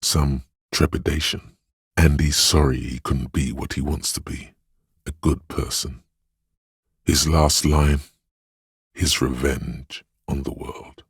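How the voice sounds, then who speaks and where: A man voicing a grave, compassionate inner voice in a video game, narrating in a measured, solemn, confiding way with a gravelly, husky tone. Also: a bass voice, dry recording, clean studio sound, heavily acted. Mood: soft, sympathetic. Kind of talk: scripted game narration, literary and brooding.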